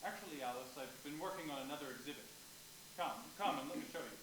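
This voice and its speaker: Low, brisk professor played by a woman. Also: natural voice. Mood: eager. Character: earnest professor and exhibit maker, keen to explain. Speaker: actor in a staged reading. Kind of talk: staged play reading. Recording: very echoey room with clear noise.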